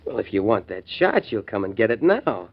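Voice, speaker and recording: A man's voice: lowish voice, very animated, moderate pace. An actor playing a sly, cocky man with a chuckling manner in a 1940s radio drama. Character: greedy sly man, shot near the end. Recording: dull old radio recording with faint hiss.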